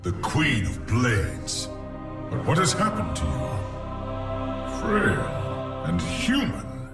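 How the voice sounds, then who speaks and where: A man's voice: mid-range voice, huge pitch swings, slow, creaky, exaggerated voice. An actor playing a grave male alien warrior in a game cutscene. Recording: echoey game cinematic with music.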